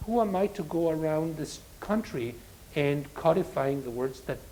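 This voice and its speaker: A man professor speaking in a public academic conversation, reflective, pausing with restarts and fillers. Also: on the high side, expressive, a little mellow, a little hiss or hum, poor audio. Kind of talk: academic panel talk.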